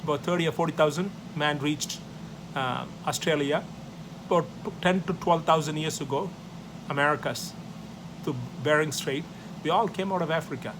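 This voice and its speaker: A man's voice: fairly high pitch, moderate pace, background noise. A man from South India whose first language is Tamil, speaking English with an Indian accent as he gives a history class, listing numbered points and often tagging "right?" onto his sentences. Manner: loose, repetitive phrasing, numbered points, many tag questions. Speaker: teacher.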